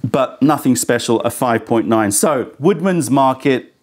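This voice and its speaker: A man's voice: medium pitch, measured pace, darkish tone. A man in his thirties hosting a casual tea tasting review, thoughtful and candid, a bit critical, speaking into a lapel mic in an indoor studio. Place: indoor studio set with sofa.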